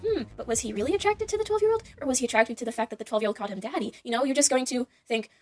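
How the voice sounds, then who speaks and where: Fast-talking, defensive woman. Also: a little high, animated, darkish tone, faint music behind. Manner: defensive, self-justifying. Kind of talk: casual unscripted opinion talk.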